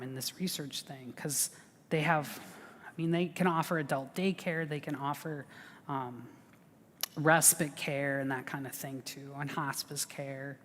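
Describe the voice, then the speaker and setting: A woman's voice: sits low, big-room sound. A woman advising people on veterans benefits in a chatty, reassuring way, with lots of fillers and restarts. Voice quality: big voice. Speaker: veterans service officer. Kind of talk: informal advice talk.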